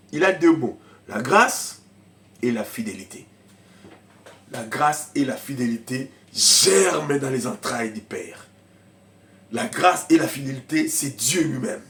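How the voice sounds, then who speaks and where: Preaching man with a cold. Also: mid-range voice. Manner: swings from shouted preaching to quiet murmuring asides; speaks in tongues in bursts. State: has a cold, coughing. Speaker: pastor. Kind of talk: sermon.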